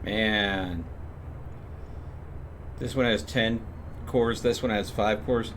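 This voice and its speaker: A man's voice: lowish voice, steady tone, slow pace, a bit bright, a little hiss or hum. A man doing casual live game commentary, thinking aloud to himself as he plays. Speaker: game streamer.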